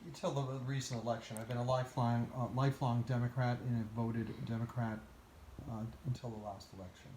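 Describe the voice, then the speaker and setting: A man from Portugal talking casually in a conversation, giving his views at a measured pace and pausing often with "uh". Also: medium pitch, fairly even intonation, darkish tone, reverberant, a little hiss or hum, rough recording. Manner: measured, lists places and reasons, with many "uh" pauses. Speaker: interviewee.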